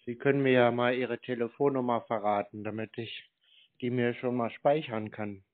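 A man's voice: mid-range voice, fairly even intonation, normal speed, no room sound. A man in a casual phone conversation, answering in a laconic, clueless-sounding way. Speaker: caller being pitched, scam-baiter. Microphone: telephone. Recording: muffled narrow phone line.

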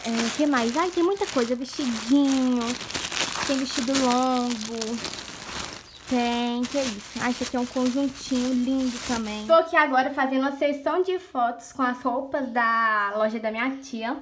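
A young woman with a high voice, vlogging on her phone at arm's length in rooms of her home. She gushes eagerly and keeps calling out to her viewers, her nose stuffy from a cold, and faint clicking noises sit behind her. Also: normal speed, noisy background. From Brazil. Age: young adult. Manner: chatty and gushing, addresses viewers as "gente". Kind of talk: casual vlog chat to camera. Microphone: phone camera at arm's length.

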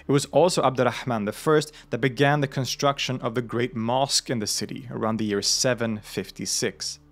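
Guy doing calm documentary narration on history, mid pitch, measured and clear. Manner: measured, informative, with the odd aside. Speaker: host of a religion history channel.

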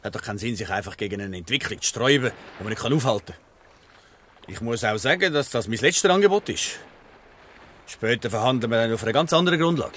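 A smooth-talking, calculating company boss, acted by a man in a Swiss German radio drama. The voice has a middle pitch, and there is faint noise behind it. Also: expressive, fast talker, fairly bright tone, close to an everyday voice. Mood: persuasive, condescending. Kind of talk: dialect radio play acting.

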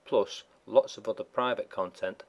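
A man reading a short promotional pitch for a support subscription in a plain, even way. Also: moderate pace. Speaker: channel owner pitching a subscription. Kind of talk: read promotional plug.

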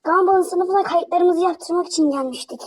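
A woman doing casual fan voice acting, sharp and snappy. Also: extremely high pitch, moderate pace.

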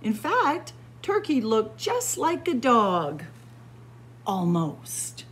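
A woman reading a children's picture book aloud indoors as a library storyteller, in an expressive, deliberate manner. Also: on the high side, a little hiss or hum. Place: indoor room with bookshelves, library.

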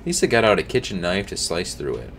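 A young man reading a scary story aloud at home, tripping over words now and then and adding quiet asides. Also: low-pitched, very animated, fast, creaky, slight background noise. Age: young adult. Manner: reads with slips and restarts, drops to quiet asides. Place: home streaming room, indoors.

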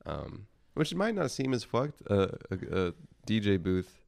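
A man's voice: fairly low pitch, very animated, a little slow, creaky, darkish tone. A young man co-hosting a podcast, rambling and swearing casually as he talks. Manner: rambling and profane, trails off mid-thought. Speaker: podcast co-host. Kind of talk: casual chat.

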